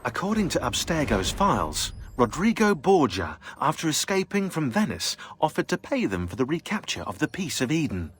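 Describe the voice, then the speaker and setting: A sardonic historical narrator. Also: male voice, on the high side, sing-song, moderate pace, hardly any pauses, fairly bright tone. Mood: wry. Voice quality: sardonic, dry. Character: sardonic male database narrator, dry and wry. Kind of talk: scripted narration.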